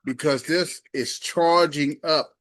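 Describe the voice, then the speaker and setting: A man's voice: fairly high pitch, fairly even intonation, takes it slowly, fairly bright tone, dry recording. A man reviewing a gadget casually and hands-on, talking himself through each step in a folksy, emphatic way. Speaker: product reviewer.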